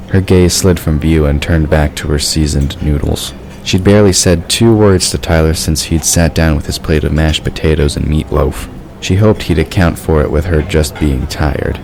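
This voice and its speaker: Very deep-voiced male story narrator. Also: darkish tone, poor audio.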